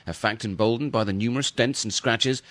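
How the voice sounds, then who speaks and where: A man's voice: medium pitch, brisk, a bit bright, dry recording, lightly acted. A man playing an aloof, arrogant Victorian detective in an audio drama, formal, wordy and condescending. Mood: coolly deductive.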